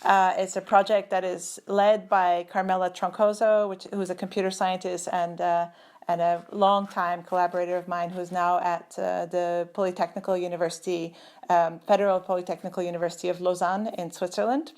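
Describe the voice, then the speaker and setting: A woman's voice: on the low side, flat delivery, brisk, darkish tone. A middle-aged woman from Turkey, a computer scientist speaking as an interview guest over a video call, thoughtful and full of fillers and restarts. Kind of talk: conversational interview.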